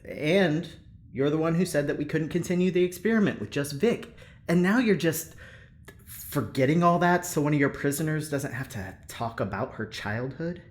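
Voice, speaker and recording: An actor playing an upbeat, cheeky young engineer in an audio drama, talking casually and teasingly with halting bursts of enthusiasm. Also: medium pitch, close to an everyday voice. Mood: skeptical, prodding. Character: upbeat, cheeky Midwestern engineer, breezy and persuasive. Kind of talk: naturalistic audio drama acting.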